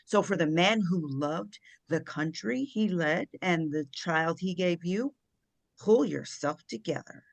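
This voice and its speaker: Woman playing an imperious, lowish-voiced woman in charge. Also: takes it slowly, gravelly, no echo, heavily acted. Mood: fierce, commanding. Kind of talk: script table read.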